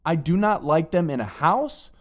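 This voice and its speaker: A woman's voice: sits low, normal speed, voice pushed down. A woman voicing a grumpy, stubborn picky eater in a read-aloud storybook, grumbling every refusal. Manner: flat, grumbling, fed-up refusals.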